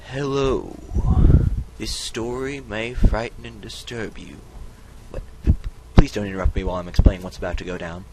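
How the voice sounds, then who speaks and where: A man's voice: lowish voice, fairly even intonation, normal speed, heavy background noise. A man giving a mock-dramatic storybook reading of a text game, often breaking into casual, self-interrupting asides. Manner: theatrical, comically self-interrupting. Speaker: reader and player of a text game.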